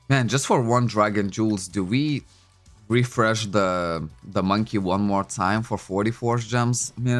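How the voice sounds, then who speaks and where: A man whose first language is Lithuanian, chatting casually at home into a desk microphone while playing a game, thinking aloud with many fillers. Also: fairly low pitch. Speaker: game streamer. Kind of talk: casual livestream commentary.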